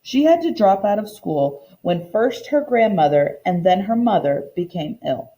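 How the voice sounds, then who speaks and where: Female teacher for a kids' read-aloud: lively intonation, soft mellow tone, simple and explanatory. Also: medium pitch, a little slow, poor audio. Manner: simple, explanatory teacher talk addressed to young children. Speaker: teacher reading a picture book aloud.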